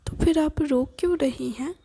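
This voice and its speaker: A woman voicing a small boy who whines and pleads loudly. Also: high voice, moderate pace, vocal fry. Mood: pleading. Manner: pleading, raised and whiny.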